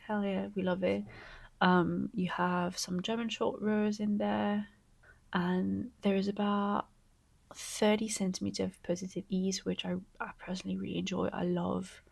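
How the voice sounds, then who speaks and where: A young woman knitting vlogger, congested from a cold, chatting excitedly to camera and sometimes slipping into a soft whisper. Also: medium pitch, creaky. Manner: gushing and excitable, often dropping to a soft whisper. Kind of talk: casual vlog chat to camera.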